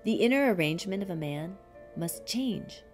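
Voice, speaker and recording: A woman reading a spiritual commentary as an audiobook, in a measured, deliberate manner with frequent pauses. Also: mid-range voice, animated, soft background music.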